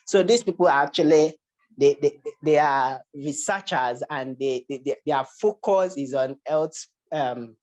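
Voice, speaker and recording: Halting Nigerian-accented academic presenter. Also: medium pitch, a little mellow. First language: Yoruba. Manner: hesitant, repeats words and restarts phrases. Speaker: research presenter.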